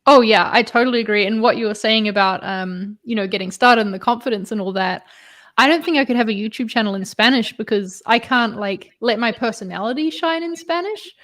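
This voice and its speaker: A woman's voice: on the high side, fairly bright tone. Woman, chatty casual panelist who readily agrees with the others. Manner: chatty, quick to laugh along. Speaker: guest creator.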